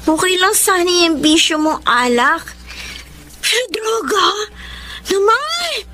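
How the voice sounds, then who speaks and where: A woman's voice: extremely high pitch, sing-song, takes it slowly, sharp tone, slight background noise, exaggerated voice. A young woman with a Filipino accent acting an earnest, concerned girlfriend in an emotive radio drama. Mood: worried, scolding.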